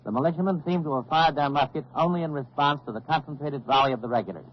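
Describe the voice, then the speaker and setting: An actor playing a sober military analyst in a 1940s radio drama, giving formal, grave commentary. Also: male voice, a little high, quick pace, close to an everyday voice. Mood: grave, considered. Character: sober male military news analyst, a major. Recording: dull old radio recording.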